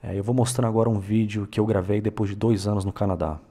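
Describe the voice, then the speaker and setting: A Brazilian man in his thirties, telling his story in a relaxed, casual way with many filler tags and small self-corrections. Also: on the low side, fast talker, slight background noise. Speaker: vlogger. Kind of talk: casual personal narration to camera.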